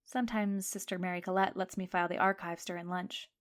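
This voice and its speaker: A woman's voice: fairly low pitch, fairly even intonation, fast, lightly acted. Tentative schoolgirl sleuth played by a woman. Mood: helpful, matter-of-fact. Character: hesitant, bookish, helpful schoolgirl sleuth Florence. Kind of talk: audio drama dialogue.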